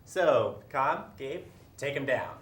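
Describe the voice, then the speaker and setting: A young man hosting a casual trivia segment, halting and stumbling over his words. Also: mid-range voice, expressive, a bit bright. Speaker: quiz host. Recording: echoey room with faint noise.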